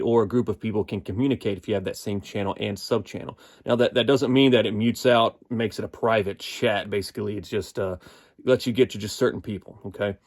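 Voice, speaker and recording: A man's voice: medium pitch, a little mellow. An American man giving a casual, unscripted gear review in a folksy, explanatory manner. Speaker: product reviewer.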